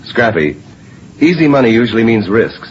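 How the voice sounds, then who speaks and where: An actor playing a wry, polite, genial lawyer in a 1950s radio drama. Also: male voice, mid-range voice, sing-song, moderate pace, natural voice. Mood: earnest. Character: genial, wry middle-aged lawyer investigating a drug racket. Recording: muffled old radio recording, faint noise.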